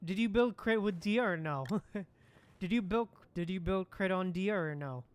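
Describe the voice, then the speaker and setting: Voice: mid-range voice, unhurried, clean tone. A livestream host chatting casually while gaming, often repeating phrases in reply to chat. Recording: music playing behind the voice.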